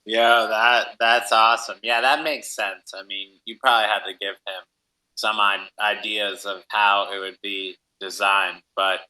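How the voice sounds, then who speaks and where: Male host, strikingly bright, sharp timbre at a lowish pitch, informal interview chat, affirming and supportive. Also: flat delivery, unhurried, low-quality recording. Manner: encouraging, affirming.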